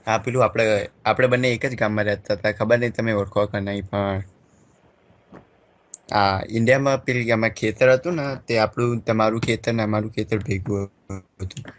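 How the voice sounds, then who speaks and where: A man's voice: fairly low pitch, steady tone, moderate pace. A man with a colloquial Gujarati accent making a prank phone call, insistent and mock-earnest. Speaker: prank caller.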